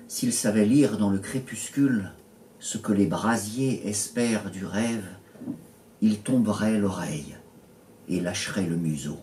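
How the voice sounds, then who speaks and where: Slow, low-voiced man reading poetry, roomy echo. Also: a little mellow. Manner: measured, pausing between short phrases. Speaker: poet reading own poems. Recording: roomy echo, distant mic, faint noise.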